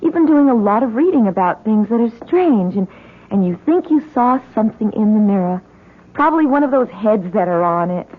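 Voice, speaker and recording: A woman's voice: medium pitch, expressive, a little fast, lightly acted. An actress in an old-time radio drama playing a sensible, reassuring young woman at college. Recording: tinny, faintly noisy old radio recording.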